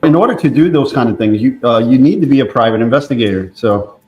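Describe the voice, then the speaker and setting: A man's voice: mid-range voice, animated, fast, darkish tone, lo-fi sound, faint music behind. Man explaining things in echoey room. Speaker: private investigator, co-host. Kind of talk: informal expert commentary. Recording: echoey, roomy microphone sound.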